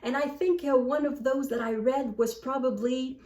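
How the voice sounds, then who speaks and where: Echoey room, distant mic, woman, fairly high voice, casual book vlog chat, animated and wry about herself. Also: normal speed. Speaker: book vlogger. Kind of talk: casual chat to camera, answering book prompts.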